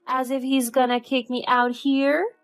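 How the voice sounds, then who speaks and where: A woman's voice: sits high, sing-song, measured pace, soft background music, voice pushed up. A woman voicing a scheming, spiteful older mother-in-law who talks in a mocking, babyish tone. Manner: mocking, babyish, fake-sweet.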